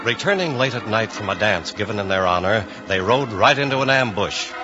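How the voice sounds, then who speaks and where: A man's voice: lowish voice, lively intonation, a little fast. A man narrating a western serial as a 1950s radio announcer. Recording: dull old radio recording. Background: music.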